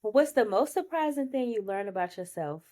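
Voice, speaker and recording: A woman's voice: a little high, lively intonation, normal speed, dark tone. A woman hosting a casual podcast interview, chatting in an informal, slangy and affirming way. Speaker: podcast host.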